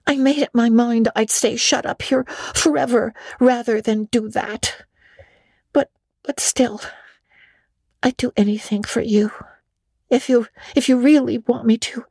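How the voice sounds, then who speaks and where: A woman voicing an earnest, imaginative young girl in a storybook reading, halting and full of feeling. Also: fairly high pitch, steady tone, a little mellow, slightly rough, no room sound, pitched above the performer's own voice. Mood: earnest, ashamed. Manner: halting, repeats words for emphasis.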